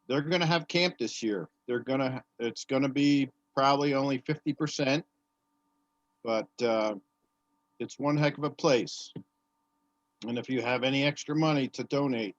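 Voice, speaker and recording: A man's voice: medium pitch, measured pace, long pauses. A man in casual group chat, rambling with restarts as he organizes volunteer help. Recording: dry, dull lo-fi call audio.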